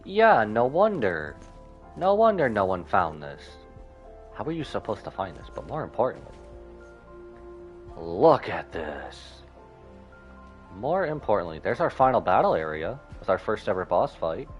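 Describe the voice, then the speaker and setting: A man's voice: on the low side, big pitch swings, unhurried, long pauses, highs cut off, soft background music. A man giving casual live gameplay commentary as a streamer, thinking aloud and reacting with exclamations.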